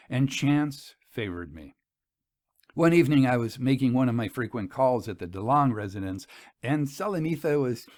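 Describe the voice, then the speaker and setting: A man's voice: mid-range voice, no room sound, studio quality. A man reading a story aloud in an earnest, formal and theatrical way. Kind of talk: dramatic scripted story narration.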